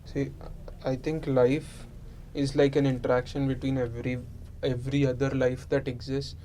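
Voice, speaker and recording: A man's voice: medium pitch, flat delivery, normal speed, mellow. A man in a casual discussion, speaking in a reflective, explanatory way. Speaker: participant in an unscripted discussion. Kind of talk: casual philosophical chat. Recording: noisy room ambience.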